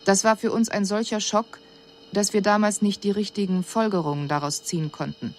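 An actress playing an analytical alien envoy, giving a formal report in a measured, detached manner. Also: female voice, medium pitch, fairly even intonation, a little hiss or hum, lightly acted. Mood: detached, puzzled. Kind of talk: formal scripted report in radio drama.

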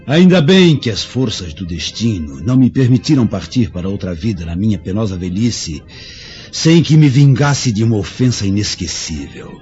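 Vengeful old chieftain, wildly swooping delivery. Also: male voice, fairly low pitch, big pitch swings, normal speed, a little mellow. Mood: gloating, menacing. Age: older. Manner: gloating, menacing, mock-courteous. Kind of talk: theatrical radio drama acting. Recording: dull lo-fi radio drama, music behind.

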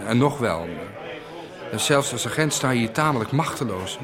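A man's voice: mid-range voice, expressive, a crowd in the background, close to an everyday voice. An actor playing an earnest, awkward policeman in a Dutch radio drama, speaking hesitantly. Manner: hesitant, halting. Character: earnest, awkward male small-town policeman in the city.

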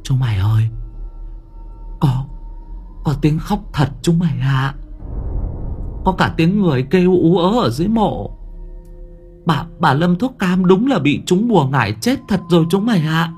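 A man's voice: a little high, very animated, normal speed, bright, forceful tone, faint music behind, pitched above the performer's own voice. A man voicing a scrawny, stubborn young boy in a ghost story, stammering as he speaks. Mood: shaken, fearful. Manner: stammering, repeating words.

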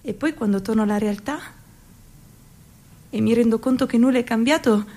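A woman's voice: on the high side, normal speed, dark tone. A woman playing a restless young woman who dreams of her own startup, in a theatrical radio monologue with dreamy, self-mocking phrasing. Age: young adult.

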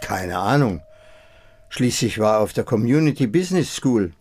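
An old husband played by a male actor speaks with calm resignation and tenderness. His lines are short and plain, in the intimate manner of a radio play, with music clearly behind him. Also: mid-range voice, moderate pace, natural voice. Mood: matter-of-fact. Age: older. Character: elderly husband, resigned, tender, calmly facing the end. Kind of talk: German radio drama acting.

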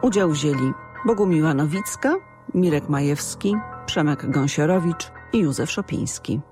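Niska kobieca zapowiedź radiowa na tle muzyki. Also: female voice, low-pitched, animated, moderate pace, darkish tone. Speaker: radio announcer reading closing credits. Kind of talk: scripted announcement. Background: music.

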